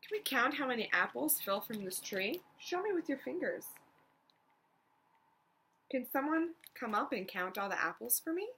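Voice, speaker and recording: A woman reading a children's storybook aloud as a teacher, expressively, pausing to prompt young listeners with questions. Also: on the high side, big pitch swings, sharp tone, a little hiss or hum.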